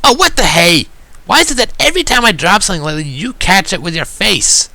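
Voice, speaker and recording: A man's voice: sits high, sing-song, fast, gravelly, sharp tone, dry recording, pitched above the performer's own voice, full character voice. A man voicing a brash, sarcastic young female pegasus pony in an amateur story reading. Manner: sarcastic, teasing. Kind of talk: amateur fan fiction character reading.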